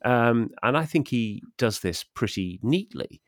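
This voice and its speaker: A man co-hosting a literary discussion in a scholarly, measured manner, setting out his points with care. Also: mid-range voice, animated, no echo, clean studio sound. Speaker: podcast co-host.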